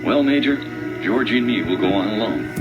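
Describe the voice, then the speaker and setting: An actor playing a plain-spoken frontier hero with a folksy drawl. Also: male voice, fairly low pitch, a little fast, lo-fi sound, natural voice. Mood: steady. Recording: voice over loud music. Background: loud background music.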